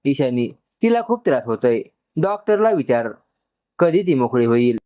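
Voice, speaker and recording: A man's voice: medium pitch, very animated, moderate pace, dull audio, close to an everyday voice. A man voicing an anxious young man in a dramatic story, pleading and agitated in his fear for a woman. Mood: worried, agitated.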